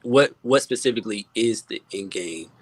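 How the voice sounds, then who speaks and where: A man in a casual online conversation, giving informal, encouraging advice with halting, repeated phrases. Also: fairly low pitch, expressive, breathy edge. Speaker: guest music producer. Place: remote online video call.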